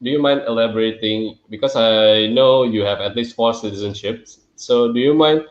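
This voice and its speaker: A man hosting an interview podcast, asking long questions and pausing often with "uh". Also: mid-range voice, roomy sound, muffled recording. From Singapore. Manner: hesitant, with many filler sounds. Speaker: podcast host. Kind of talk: interview conversation.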